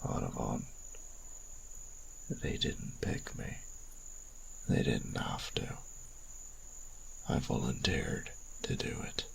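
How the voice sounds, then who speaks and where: A man playing a cold, stern, ancient vampire inquisitor priest in an audio drama, with a gravelly rasp and slow, formal, solemn delivery. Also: a bass voice, huge pitch swings, a little hiss or hum, voice pushed down, heavily acted, low-quality recording. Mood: cold, quiet. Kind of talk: dramatic audio roleplay acting.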